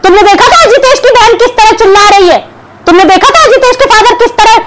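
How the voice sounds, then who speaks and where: A woman's voice: very high-pitched, brisk. A young woman vlogger giving combative reaction commentary to camera, scolding and sarcastic. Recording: clear background noise.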